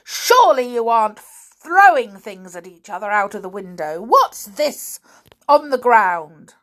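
A woman's voice: lowish voice, expressive, normal speed, fairly bright tone, husky, heavily acted. A woman voicing an irascible middle-aged uncle in a story, barking indignant questions and scornful remarks. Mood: furious.

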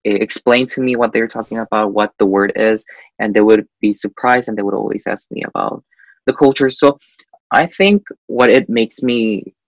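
A young man from Guatemala speaks as an interview guest, often pausing on "eh" as he thinks. His voice sits a little low, and the recording is dry and close, with no room sound. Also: no echo, dull audio. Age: young adult. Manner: hesitant, frequent "eh" fillers, animated. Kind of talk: casual interview chat.

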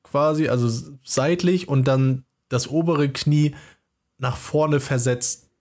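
Podcast co-host: man, mid-pitched, easygoing, hesitant and full of fillers. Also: slow, darkish tone. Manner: laid-back, hesitant, lots of fillers. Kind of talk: casual chat.

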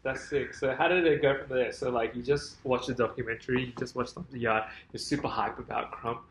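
A man's voice: mid-range voice, fairly even intonation, brisk, slight background noise. A man hosting a podcast, laid-back and slangy as he asks his guest questions. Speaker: podcast host. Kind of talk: casual interview chat. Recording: roomy echo.

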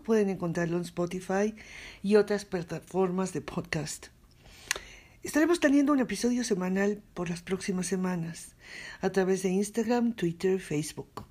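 A woman hosts a history podcast in an unhurried way, with hesitant fillers. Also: mid-range voice, darkish tone. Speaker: podcast host.

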